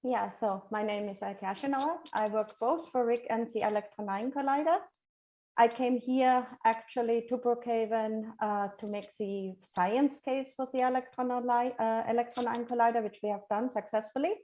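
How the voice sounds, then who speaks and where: A woman physicist introducing herself in a brisk, confident way. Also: a little high, fairly even intonation, mellow, slight background noise. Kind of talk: casual self-introduction.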